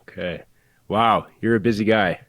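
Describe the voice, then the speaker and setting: Casting: male podcast host, low voice, dry close recording, loose rambling questions. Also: normal speed, a bit bright, dry recording. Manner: rambling, hesitant questions full of fillers. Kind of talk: casual interview hosting.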